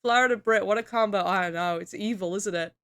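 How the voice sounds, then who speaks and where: A woman's voice: medium pitch, fast, a bit bright, smooth. A young woman from the UK chatting casually on a stream, reading chat aloud, laughing often and swearing. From United Kingdom.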